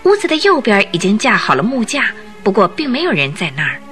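A woman giving expressive, suspenseful scripted narration for a detective radio drama. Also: mid-range voice, a little fast, faint music behind.

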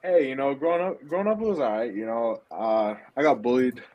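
A man's voice: mid-range voice, fairly quick, lo-fi sound. A young man from Ottawa, a podcast guest, talking casually in a loose, rambling way with lots of fillers. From Ottawa, Canada. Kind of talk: casual chat.

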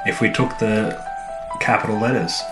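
A man's voice: fairly low pitch, normal speed. A man co-hosting a podcast, bantering casually and playfully about a TV show and arguing his points. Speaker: podcast co-host. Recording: roomy echo, music underneath.

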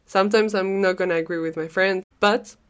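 A woman's voice: mid-range voice, fairly even intonation, fairly quick, smooth. A young woman in her twenties with a Peruvian Spanish accent, hosting a podcast in a chatty, rambling way, laughing often and swearing casually. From Peru. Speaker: podcast host. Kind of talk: casual solo podcast monologue.

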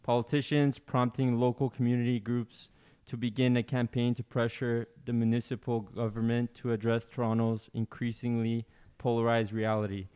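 A man on a podcast reading an article aloud, careful and halting, with fillers. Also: medium pitch, level tone, warm tone, smooth. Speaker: podcast co-host.

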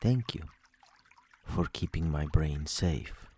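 A man leading a guided sleep meditation, speaking slowly and soothingly with long pauses between phrases. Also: rumbling low, expressive, a little mellow, slight background noise.

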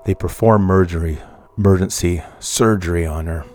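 A man's voice: sits low, normal speed, a little mellow, soft background music. A middle-aged man, hosting a true crime podcast and telling a personal story in a somber, rambling way, often correcting himself. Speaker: podcast host.

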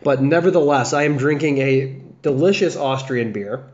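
A man's voice: mid-range voice, moderate pace, darkish tone. A young American man hosting a casual solo fantasy football podcast, chatting loosely with fillers and restarts. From United States, south of the Chicago area. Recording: dull lo-fi podcast audio.

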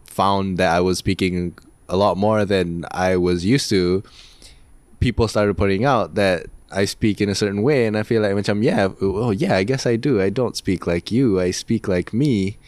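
Deep-voiced malaysian dude rambling on a podcast, lots of ums, dry close sound. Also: male voice, no room sound. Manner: hesitant and rambling, many fillers. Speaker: podcast co-host. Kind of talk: casual chat.

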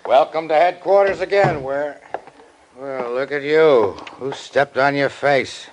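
A man's voice: mid-range voice, measured pace, slight background noise, natural voice, poor audio. A male actor as a sardonic police sergeant in a classic American radio mystery. His mid-range voice is dry and teasing, always needling. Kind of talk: 1940s-50s American radio detective drama acting.

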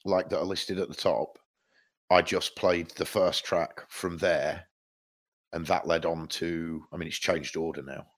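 A man's voice: deep voice, fairly even intonation, unhurried. A man co-hosting a podcast, chatting casually about music, a bit stuffed up from hay fever and rambling with lots of restarts. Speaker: podcast co-host.

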